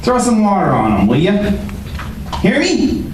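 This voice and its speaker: A man playing a gruff, bullying, short-tempered old crook who snaps orders in a detective radio play. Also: sits high, wildly swooping pitch, normal speed. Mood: panicked bluster. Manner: blustering, snapping orders. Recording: echoey room from far off, loud noise.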